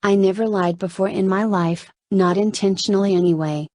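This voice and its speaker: A woman's voice: mid-range voice, fairly even intonation, moderate pace, darkish tone, no room sound, studio quality, lightly acted. A woman voicing a naive, earnest, confused young woman patient in a story reading, questioning and hesitant. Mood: earnest, puzzled.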